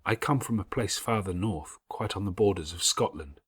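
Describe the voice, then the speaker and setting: A man voicing a patient, devout, bookish older schoolgirl in an audiobook, speaking in a measured, earnest, moralising way. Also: on the low side, fairly bright tone, husky, no echo, studio quality. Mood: calm, earnest. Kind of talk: audiobook character voice.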